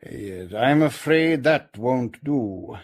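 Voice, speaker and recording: An actor playing an authoritative British official questioning suspects in a radio detective drama, formal, measured and insistent. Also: male voice, mid-range voice, animated. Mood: probing, stern.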